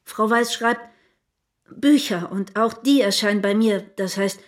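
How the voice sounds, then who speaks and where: An actress playing a tactful but persistent publisher in a naturalistic radio drama, speaking carefully and searching for words. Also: female voice, mid-range voice, a little slow, lightly acted. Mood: businesslike, careful. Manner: hesitant, diplomatic, searches for words.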